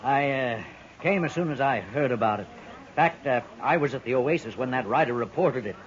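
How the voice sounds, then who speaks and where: A man's voice: mid-range voice, expressive, fairly quick, natural voice. An actor playing a slippery, self-important townsman in a 1950s radio western, unctuous and hesitant. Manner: hesitant, unctuous, indignant when challenged. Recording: muffled 1950s radio recording.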